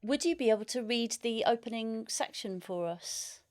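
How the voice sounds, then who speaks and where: A woman's voice: fairly high pitch, expressive, normal speed, darkish tone. A woman interviewing a guest in a conversational, informal way, phrasing her thoughts tentatively.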